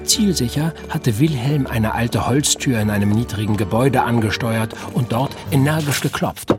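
A man narrating an old-fashioned detective tale in a measured, formal first-person voice. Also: medium pitch, loud music behind the voice, natural voice. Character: measured male storyteller, scholarly.

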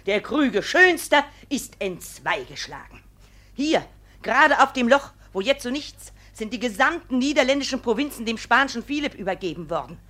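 A woman's voice: fairly high pitch, big pitch swings, moderate pace, fairly bright tone. A woman playing an indignant, stubborn village widow in a classical verse radio drama, plaintive, emphatic and long-winded. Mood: plaintive, insistent.

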